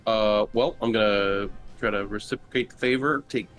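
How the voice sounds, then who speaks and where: A man's voice: on the low side, moderate pace, a bit bright, faint music behind. A man chatting casually as a player in a tabletop roleplaying game.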